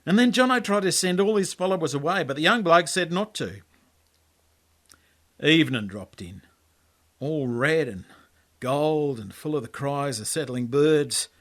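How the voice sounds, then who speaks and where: Australian man yarning, slightly higher-pitched voice. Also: expressive, measured pace, long pauses, fairly bright tone. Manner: colloquial, laconic, yarning. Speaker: author reading own story. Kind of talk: storytelling monologue, first-person character narration.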